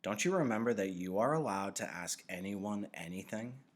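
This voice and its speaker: A solemn old mentor acted by a man reading aloud in a storybook. His voice is low, and he speaks in a grave, patient, measured way that commands attention. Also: animated. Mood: grave, instructive.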